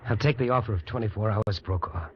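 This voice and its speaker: A man's voice: on the low side, quick pace, natural voice. An actor in an old-time radio drama playing a worn-out fugitive prisoner, plainspoken, wry and resigned to his fate. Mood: reflective, bargaining. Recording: dull, noisy old radio recording.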